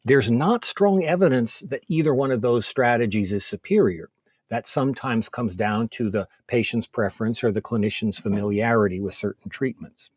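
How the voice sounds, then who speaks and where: Guy doctor type explaining guidelines in an interview, bit husky, measured and methodical, really dry sound. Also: mid-range voice, darkish tone, dry recording. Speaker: medical expert guest. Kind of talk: interview explanation of clinical guidance.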